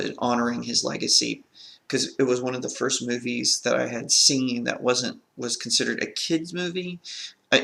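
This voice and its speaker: A man's voice: medium pitch, creaky, fairly bright tone. A man with a crackly voice from a sore throat, talking as an earnest film review host in a casual vlog style.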